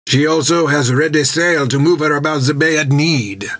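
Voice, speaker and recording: A man voicing a suave, boastful, sinister Frenchman, speaking formally and deliberately in an audiobook adventure. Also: fairly high pitch, a bit bright, pitched above the performer's own voice. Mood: smug, persuasive.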